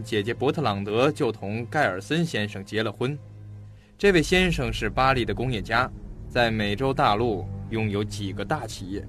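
An actor playing a shrewd, talkative, self-assured detective in an audio mystery drama, laying out a case in a theatrical storytelling manner. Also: male voice, fairly low pitch, a bit bright.

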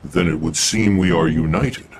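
An actor playing a solemn cosmic being in a superhero video game, speaking gravely and formally. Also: male voice, low voice, normal speed, slight background noise, close to an everyday voice.

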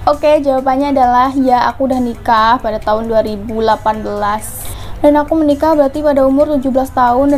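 A woman's voice: high-pitched, a little mellow. A woman in her twenties from Central Java, chatting casually with her viewers and answering their questions on a clip-on mic at home. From Central Java, Indonesia. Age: 20s. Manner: chatty, often tags lines with "guys". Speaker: mukbang vlogger. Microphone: clip-on lapel mic.

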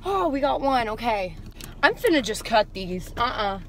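A woman's voice: a little high, sing-song, normal speed, fairly bright tone. A young woman with an American accent chatting casually and rambling in a sassy, foul-mouthed way while driving, recorded inside a moving car. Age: young adult. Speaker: vlogger. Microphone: camera on the dashboard, arm's length away. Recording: noisy car interior, road noise.

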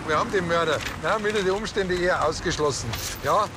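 Actor as a cheeky Bavarian cop, highish voice. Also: male voice, fast, music in the background, close to an everyday voice. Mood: breezy. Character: cheeky, casual small-town policeman. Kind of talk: TV crime comedy acting.

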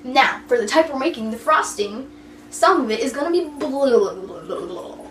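A woman's voice: sits high, lively intonation, normal speed, a bit bright, distant mic. A young woman hosting a playful, chatty cooking demonstration in a goofy, teasing, giggly manner. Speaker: vlogger hosting a cooking video. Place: kitchen. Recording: echoey, noisy room, off mic.

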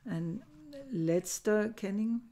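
An older woman giving a recorded lecture from her book-lined study, explaining old verses slowly and thoughtfully, with many hesitations. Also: medium pitch, warm tone, slight background noise. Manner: slow, thoughtful, with frequent hesitations. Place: home study lined with bookshelves. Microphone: webcam at desk.

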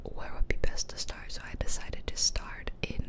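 A woman whispering chatty, playful ASMR commentary over a video game, close to the microphone. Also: normal speed. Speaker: host of an ASMR gaming channel. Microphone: close ear-to-ear ASMR microphone.

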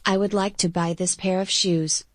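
Dry, clear synthetic woman's voice. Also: mid-range voice, steady tone, moderate pace, no room sound. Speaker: app voice reading example sentences. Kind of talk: scripted sentence reading.

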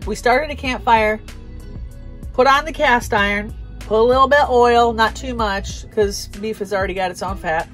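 A woman's voice: fairly high pitch, normal speed, fairly bright tone, soft background music. An American woman in her thirties giving a casual, conversational recap as a vlog host, talking inside a small camper.

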